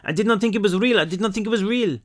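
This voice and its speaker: A man voicing a frightened young teenage French girl in an audiobook, speaking halting broken English with a French accent. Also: sits high, voice pushed up, close to an everyday voice. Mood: pleading. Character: frightened French girl of about thirteen, pleading.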